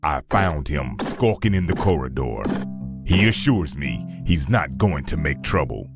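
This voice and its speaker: A man's voice: extremely low pitch, animated, a little slow, music in the background, full character voice. A man playing a huge, stern robot enforcer in an audio drama, formal, precise and threatening.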